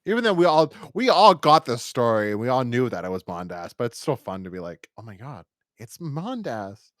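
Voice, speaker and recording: Male podcast co-host, casual and enthusiastic reviewer, very lively pitch, rambling delivery, dry recording. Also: moderate pace, dry recording.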